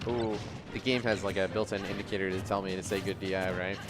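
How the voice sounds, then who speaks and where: A man's voice: sits low, fairly even intonation, fast talker, very noisy. A man giving casual, analytical live commentary on a video game match, conversational and reacting to the plays.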